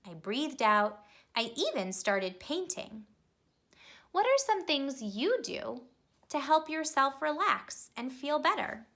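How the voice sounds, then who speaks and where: Woman, children's storybook reader, bright tone, slightly high, expressive, questions to listeners. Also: slow. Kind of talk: children's read-aloud.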